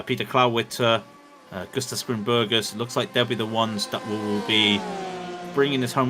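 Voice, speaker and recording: A man doing live commentary on an online endurance race, chatty and joking between updates, his throat dry after hours on air. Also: medium pitch. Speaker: sim racing commentator.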